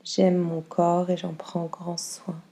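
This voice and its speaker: A woman guiding a yoga nidra meditation in a calm, soothing, unhurried way with long pauses. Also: fairly low pitch. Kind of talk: slow guided meditation.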